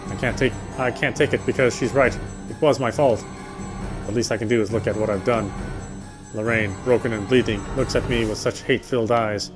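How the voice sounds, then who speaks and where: A man's voice: medium pitch, steady tone, fairly quick. A man narrating a visual novel aloud in a dramatic storytelling style as he plays. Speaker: gamer reading the game text aloud. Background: faint game music.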